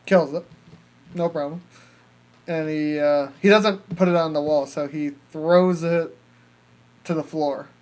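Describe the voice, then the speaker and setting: A man's voice: fairly high pitch, fairly even intonation, unhurried, pauses often, a little mellow. A man hosting a podcast, casually reviewing films in a rambling, sweary way. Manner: rambling, profane, filler-heavy storytelling. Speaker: podcast host. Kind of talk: casual movie review chat.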